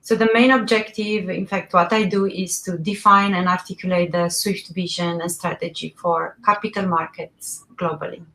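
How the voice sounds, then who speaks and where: A woman's voice: on the low side, steady tone, normal speed, hardly any pauses, pushed and bright, poor audio. A woman panelist from a strategy team introducing herself in a businesslike way.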